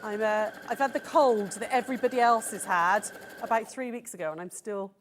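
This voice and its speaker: Croaky woman teaching quilting. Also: mid-range voice, brisk, slight background noise. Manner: patient step-by-step instruction with frequent "okay?" checks. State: croaky from a cold. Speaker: quilting demonstrator. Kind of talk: sewing demonstration. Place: TV studio.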